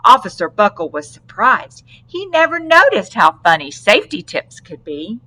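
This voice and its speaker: A woman narrating a children's storybook aloud with deliberate, dramatic phrasing. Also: medium pitch, big pitch swings, pushed and bright, low-quality recording. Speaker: storybook reader.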